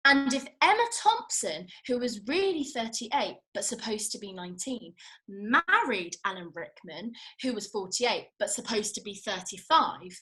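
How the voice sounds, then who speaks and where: A woman's voice: mid-range voice, sing-song, unhurried, pushed and bright, poor audio. A woman acting a feisty, blunt young working-class woman in a comedy script read, colloquial and sweary. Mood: muddled, insistent.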